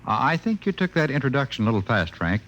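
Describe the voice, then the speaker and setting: A male spokesman delivers an old-time radio advertisement with folksy, earnest plain talk. His middle-pitched voice is lively and varied in tone. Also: fast, highs cut off, slight background noise. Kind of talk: 1950s radio advertisement, scripted folksy banter.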